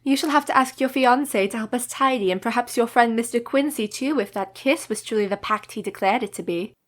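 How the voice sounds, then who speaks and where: A woman's voice: fairly high pitch, brisk, close to an everyday voice. An actress playing a sensible, observant, genteel young lady in a radio play, with composed, proper period diction. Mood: composed, teasing.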